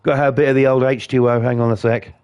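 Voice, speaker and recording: A man hosting casual gameplay commentary, blunt and defiant, his voice croaky from a cold. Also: mid-range voice, level tone, very fast, darkish tone, no echo. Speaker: gaming channel host.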